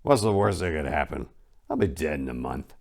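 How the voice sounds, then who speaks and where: A man voicing a ninety-year-old barfly who is dying but fearless and lecherous, in a flat, unbothered drawl. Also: a bass voice, a little fast, creaky, voice pushed down, heavily acted.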